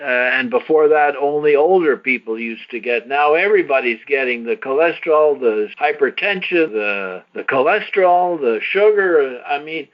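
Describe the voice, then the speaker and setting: Male call host, slightly low-fidelity line, talkative promotional pitch with emphatic repetition. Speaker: host of a success-story conference call. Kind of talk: promotional testimonial call talk. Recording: dull lo-fi conference call line.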